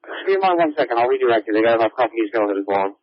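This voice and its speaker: A man's voice: mid-range voice, lively intonation, racing, dull audio. A man dispatching emergency fire traffic over a two-way radio scanner feed, speaking in clipped, procedural phrases.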